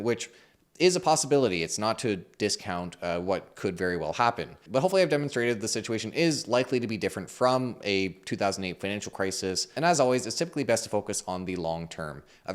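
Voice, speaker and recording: A man hosting a finance channel, explaining the market in a measured, neutral way while hoarse and losing his voice. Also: lowish voice. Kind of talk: explainer commentary.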